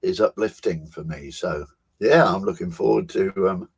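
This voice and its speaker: Slow, low husky older man. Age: 70s. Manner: unhurried, musing, with soft laughs and pauses. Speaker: co-host. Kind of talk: reflective personal storytelling.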